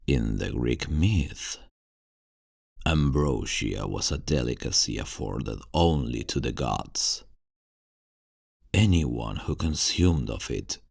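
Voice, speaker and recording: Man playing a very deep, gravelly seductive vampire. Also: no room sound, clean studio sound, heavily acted. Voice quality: gravelly, husky. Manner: formal, florid, slow and coaxing. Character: tall dark seductive male vampire master. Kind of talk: ASMR roleplay, scripted monologue.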